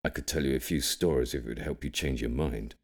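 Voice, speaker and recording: A man voicing a cryptic old grandfather in an audiobook, gravely and carefully reminiscing about his wartime childhood. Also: rumbling low. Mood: guarded concern. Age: older. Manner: careful, measured, ominous storytelling. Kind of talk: audiobook character storytelling.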